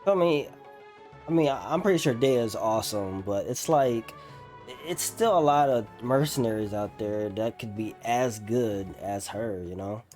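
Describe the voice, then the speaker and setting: A man's voice: lowish voice, moderate pace, a little mellow. A young man doing casual, slangy let's play commentary, musing aloud as he goes. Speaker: gaming streamer. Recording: game music behind.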